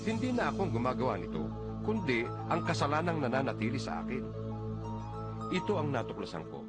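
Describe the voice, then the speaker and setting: Audio Bible narrator: Filipino male, mid-range voice, widely varied intonation, solemn emphasis, clear music bed underneath. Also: medium pitch, big pitch swings, fairly quick. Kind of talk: dramatized scripture reading. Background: background music.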